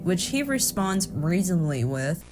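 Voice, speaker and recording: A woman talks through a horror film as a commentator, explaining it in an informal way. Her pitch sits in a middle range, and prominent music plays behind her voice. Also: sing-song, unhurried. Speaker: video commentator. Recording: loud music under the voice.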